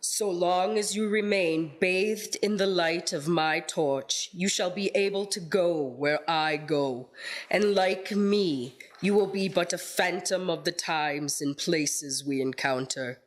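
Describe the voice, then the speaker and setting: A woman playing a solemn, knowing ghostly guide in a radio play, speaking formally and gravely. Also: on the low side, slow. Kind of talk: theatrical community radio play acting.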